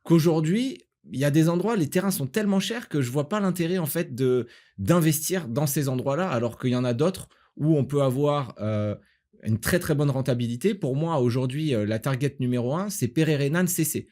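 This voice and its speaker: A man's voice: fairly high pitch, lively intonation, moderate pace, a little mellow. A man from the south of France hosting a casual podcast as a property agent, explaining things by asking and answering his own questions. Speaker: host, real estate agent.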